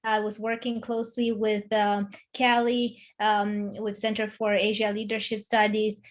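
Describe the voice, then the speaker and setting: A woman's voice: medium pitch, completely flat delivery, a little slow. A woman in her thirties from Romania, speaking with a Romanian accent as a guest in an online interview, talking with many fillers and restarts. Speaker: guest, executive coach.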